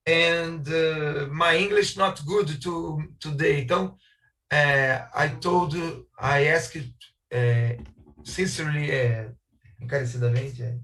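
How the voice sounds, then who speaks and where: A man with a Brazilian Portuguese accent works through slow, broken English, often stopping to hunt for the right word. His voice is bright and slightly high. He sounds a bit distant from his video-call mic. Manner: searching for English words, many hesitations and restarts. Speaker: architect guest speaker. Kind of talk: halting webinar talk in a second language.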